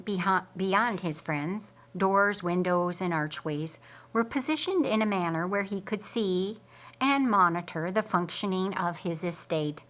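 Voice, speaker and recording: A woman reading a scripted story as an audiobook narrator in a measured, deliberate way. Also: mid-range voice, a bit bright.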